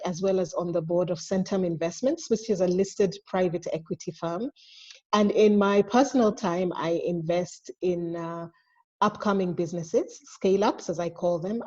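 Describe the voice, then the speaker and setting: A woman speaks in a measured, articulate way on a webinar panel. Her voice is a little low and warm. The call audio sounds dull and lo-fi. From Kenya, Namibia and Somalia. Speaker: lawyer and investor.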